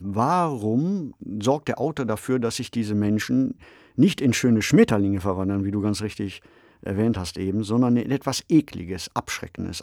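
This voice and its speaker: A man's voice: on the low side, darkish tone, dry recording, studio quality. A man, co-hosting a podcast in a reflective, articulate conversational style. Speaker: podcast co-host. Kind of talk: conversational discussion of radio drama.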